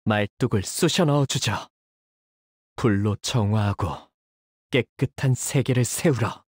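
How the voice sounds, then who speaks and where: An actor voicing a young male fighter in a video game, polite and soft-spoken with dramatic delivery. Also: mid-range voice, unhurried, slightly rough, dry recording, clean studio sound. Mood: bitter, fanatical. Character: earnest, anxious young man, a devoted shielding fighter; tormented young male zealot who purifies with fire. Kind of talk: video game character voice lines.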